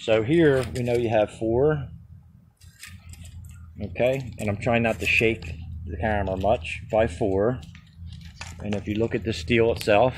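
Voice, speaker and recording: Man, low voice, practical contractor talk, step by step, outdoors on a handheld phone, faint background noise. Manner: practical, explains step by step with fillers. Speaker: contractor showing a fabrication method. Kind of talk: casual how-to walkthrough. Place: backyard, outdoors.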